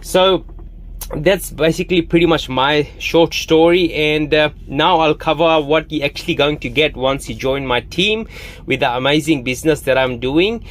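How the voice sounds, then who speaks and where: Man in his thirties, slightly high voice, casual pitch to camera, halting delivery. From Fiji. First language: Hindi. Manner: halting, many fillers and restarts. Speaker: online business promoter.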